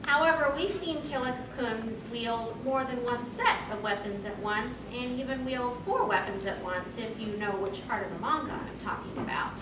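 A woman's voice: a little high, normal speed, hardly any pauses. A young woman hosting a panel, explaining things and answering questions in an articulate, slightly formal way. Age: young adult. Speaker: panel host. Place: convention meeting room, on a stage. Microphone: distant camera microphone. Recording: distant, echoey, noisy camera recording.

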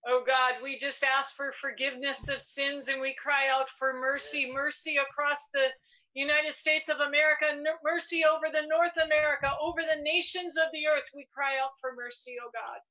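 This is a woman with a bright, high voice that stays fairly level in pitch. She prays aloud, crying out repeatedly for mercy. The recording sounds dull and muffled. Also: flat delivery, moderate pace. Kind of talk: intercessory prayer.

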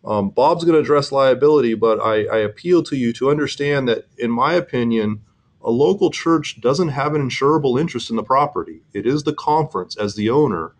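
Man, middle-aged, webinar presenter, mid-pitched, earnest, explanatory, halting restarts. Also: lively intonation. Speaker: insurance executive presenting a webinar.

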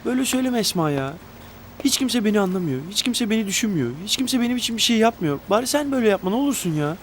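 A man's voice: high-pitched, sing-song, very fast, a little hiss or hum. An actor playing a young rich man who pleads desperately and pities himself, in a TV drama with music beneath. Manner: pleading, emotional outbursts. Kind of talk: TV drama acting.

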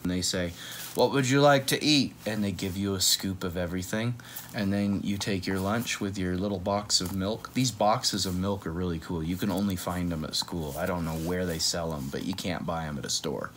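A man's voice: sits low, steady tone, normal speed, a bit bright, slight background noise. A man in his thirties chatting playfully through a children's picture book, often wandering off into asides. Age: 30s. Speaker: read-aloud host. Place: small room at home, on a couch.

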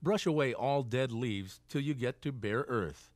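A man reading scripted instructional narration for a training film in a deliberate, clearly segmented way. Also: medium pitch, no room sound, clean studio sound. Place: dry studio voice-over.

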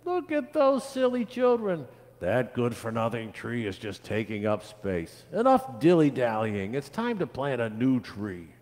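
A man's voice: medium pitch, big pitch swings, measured pace, darkish tone. A man voicing a dismissive, scornful onlooker from a children's storybook, recorded in a reverberant hall. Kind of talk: children's storybook read aloud. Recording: long echoing hall reverb.

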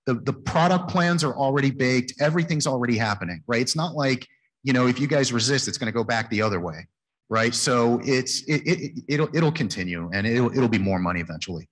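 A man in his forties on a conference panel, talking conversationally with frequent "you know" and "right?" into a handheld mic. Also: medium pitch. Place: conference stage. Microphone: handheld microphone.

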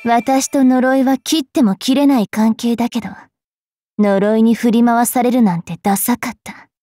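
A woman voicing a bookish, sharp-tongued young fairy witch knight in an anime mobile game, switching between prim politeness and rough taunts. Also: on the high side, steady tone, moderate pace, no room sound, soft background music, natural voice. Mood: proud, resolute.

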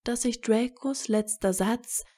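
A woman's voice: on the high side, takes it slowly, dark tone. A woman narrating a scripted fan fiction story as a storyteller.

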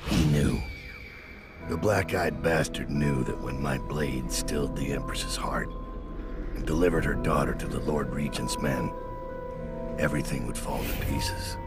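An actor voicing a grim, guilt-ridden middle-aged master assassin in a video game, brooding, deliberate and menacing, with a gravelly voice. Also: male voice, rumbling low, over loud music. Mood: bleak, weary. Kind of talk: video game voice acting, dramatic monologue.

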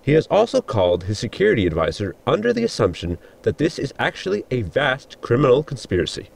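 An actor playing a polite, literal-minded ship's assistant with a gravelly, husky voice, explaining formally in a sci-fi audio comedy. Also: male voice, medium pitch, wildly swooping pitch, fast, full character voice. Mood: formal, matter-of-fact. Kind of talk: sitcom audio drama acting.

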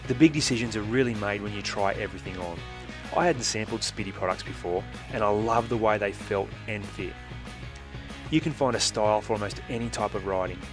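A man narrating a motorcycle gear factory tour in a steady, informative scripted voice-over. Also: on the low side, brisk. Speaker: motorcycle magazine presenter. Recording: voice-over with music behind.

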